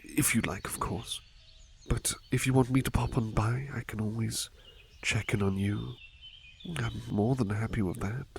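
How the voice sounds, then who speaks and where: A man playing a devoted, fussing servant in a soft-spoken ASMR roleplay, gentle and deferential, hesitating and repeating his reassurances. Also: on the low side, creaky, slight background noise. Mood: attentive, deferential. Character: devoted, fussing male servant tending a sick master. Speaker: roleplay performer.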